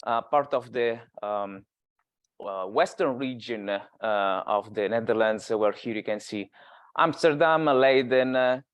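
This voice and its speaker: A man's voice: mid-range voice, slow, clear, resonant tone, dry recording. Italian-accented man giving a seminar. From Italy. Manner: hesitant, many filler sounds. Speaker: guest speaker. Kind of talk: academic seminar talk.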